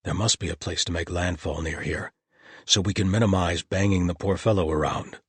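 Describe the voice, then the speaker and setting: A man's voice: low-pitched, fairly even intonation, brisk, slightly rough, no echo. A man voicing a courteous, practical male paladin.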